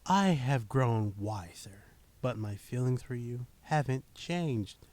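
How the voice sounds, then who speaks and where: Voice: medium pitch, lively intonation, slow, darkish tone. A performer playing an earnest young man in a radio drama. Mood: defensive. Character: earnest young male apprentice grown into greedy suitor.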